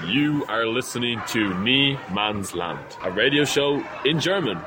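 A man's voice: medium pitch, animated, unhurried, loud music behind the voice, poor audio. A man delivering a radio station identification announcement in a jingle style.

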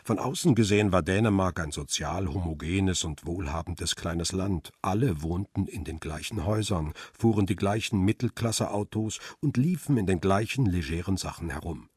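An actor playing a middle-aged, world-weary male photographer who narrates a radio crime drama in a measured, reflective way. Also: sits low, darkish tone, breathy edge, no echo, studio quality. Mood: reflective, tender. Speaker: first-person narrator and lead character.

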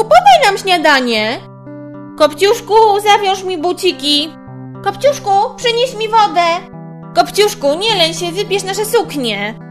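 A woman's voice: very high-pitched, voice pushed up, exaggerated voice. A woman voicing a vain, bossy young stepsister in a storybook tale, whiny and demanding.

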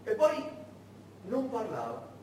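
A man's voice: high voice, huge pitch swings, slow, pitched above the performer's own voice, heavily acted. A man playing a menacing wartime interrogator who cajoles and threatens in a theatrical monologue. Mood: coaxing menace. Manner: cajoling, threatening, building to shouting. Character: menacing interrogator, falsely friendly then enraged, wartime soldier. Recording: very echoey stage, distant mic, faint noise.

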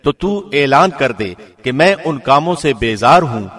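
A man reads scripture translation formally, like a radio presenter. His mid-pitched voice moves widely up and down within each sentence, and a long reverb trails his words. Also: expressive, fairly bright tone.

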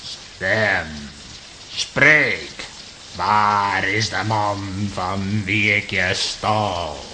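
An actor playing a scheming, villainous sorcerer in a children's audio play, with a menacing, theatrical delivery. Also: male voice, lowish voice, extremely slow, sharp tone, a little hiss or hum, exaggerated voice. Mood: gloating. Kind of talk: Dutch-language children's audio play acting.

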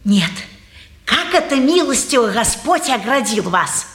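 An actress playing a brisk, folksy, sly townswoman of about forty-five, speaking in sing-song patter with pious sayings in an old stage manner. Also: fairly high pitch, very animated, fairly bright tone, a little hiss or hum. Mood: folksy, lamenting. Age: middle-aged. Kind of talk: mid-century Russian stage acting. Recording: roomy 1950s theatre radio recording.

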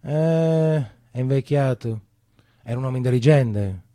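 An actor voicing a kindly, calm schoolteacher with a gentle, reassuring manner in a theatre monologue. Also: male voice, medium pitch, measured pace, dry recording, studio quality, lightly acted. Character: kindly, calm male teacher and partisan.

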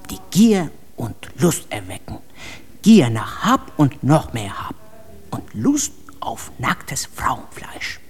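An actress playing a devout, severe woman who laments a godless land in a solemn radio drama monologue, moving between breathy passages and declamation. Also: low-pitched, slight background noise, full character voice. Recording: long reverb with music behind.

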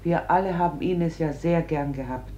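A woman's voice: low-pitched, normal speed, dark tone, lo-fi sound, natural voice. An actress playing a defiant, embittered middle-aged mother in a radio drama, argumentative and emphatic. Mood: firm, resisting. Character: defiant, embittered mother refusing her sons to war. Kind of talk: German stage-like radio drama acting. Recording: noisy old radio drama recording.

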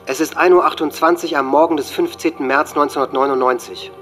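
Man playing a menacing teenage gunman, higher-pitched. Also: fairly even intonation, quick pace, fairly bright tone, soft background music, natural voice. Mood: grandiose. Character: teenage male school shooter, coldly menacing and boastful. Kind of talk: documentary radio drama acting.

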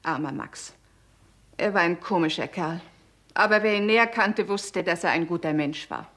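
A woman's voice: on the low side, moderate pace, a bit bright, slight background noise. A woman playing an embittered, weary and defiant film star confessing to murder in a 1960s German radio crime drama, with a theatrical, sarcastic edge. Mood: weary, sad.

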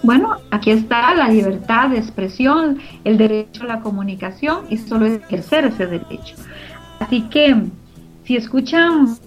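A middle-aged woman with a Costa Rican Spanish accent, answering interview questions in an earnest, emphatic way and repeating phrases for emphasis. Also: a little high. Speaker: interviewee, co-founder of a community radio organization. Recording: muffled lo-fi audio with background music.

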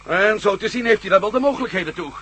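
An adventurer hero in a radio play, a bright, high, very lively voice. He asks questions eagerly and takes charge. A faint hiss runs under the recording. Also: fast. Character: resourceful male adventurer hero.

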